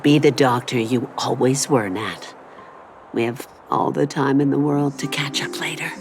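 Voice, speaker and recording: Very deep-voiced, tender elderly mother. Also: noisy background. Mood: reassuring, proud. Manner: soothing, measured. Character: tender, reassuring elderly mother, dignified and composed. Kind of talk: video game story voice acting. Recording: faint music behind the voice.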